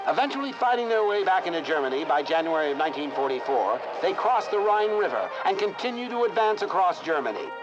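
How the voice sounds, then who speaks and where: A high, declamatory man's voice narrates in proud 1940s newsreel style for a war video game. The delivery is stirring and solemn. The sound is dull and lo-fi, with loud music underneath. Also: big pitch swings, fast, hardly any pauses.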